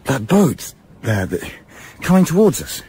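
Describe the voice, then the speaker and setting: An actor playing a blunt, earnest young English sailor with an English accent in a radio play. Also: male voice, medium pitch, very animated, unhurried, warm tone, husky, heavily acted. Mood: tense, eager. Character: Davis, earnest, plain-spoken young English yachtsman.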